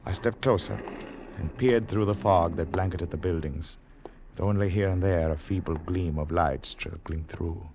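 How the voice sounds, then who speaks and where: An actor playing a weary, guarded agent on the run, in 1940s radio thriller style. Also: male voice, low-pitched, moderate pace, highs cut off. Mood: brooding narration. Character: weary, wary male foreign confidential agent, on the run. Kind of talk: 1940s radio acting, first-person thriller narration. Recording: noisy old 1940s radio broadcast.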